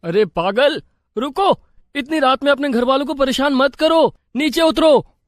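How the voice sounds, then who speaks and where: Cartoon henpecked husband, acted by a man, young, very high male pitch, exasperated, dry. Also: lively intonation, normal speed, dry recording.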